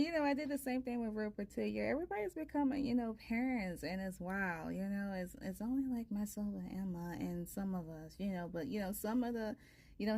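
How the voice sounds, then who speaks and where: A woman in her thirties with a soft voice, a tarot reader chatting casually and ramblingly with her viewers. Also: lowish voice. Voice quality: soft-spoken. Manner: rambling, many fillers. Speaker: tarot reader, channel host.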